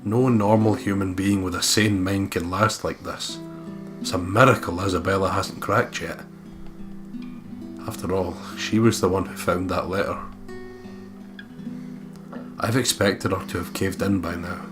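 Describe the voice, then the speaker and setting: A man's voice: deep voice, steady tone, unhurried, long pauses, music in the background. A man reading a horror visual novel aloud as a dramatic, brooding first-person narrator. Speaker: let's player reading the game aloud.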